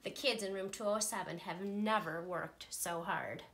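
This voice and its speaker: A woman, a teacher, reading a children's picture book aloud with playful, expressive delivery. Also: medium pitch, moderate pace. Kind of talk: storybook read-aloud.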